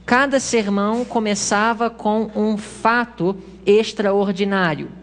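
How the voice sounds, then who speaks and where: A woman lecturing on church history to a congress audience, walking through her slides. She explains steadily at a mid pitch, pausing on small hesitations and correcting herself as she goes. Her voice rings out with a long reverb from a lecture hall.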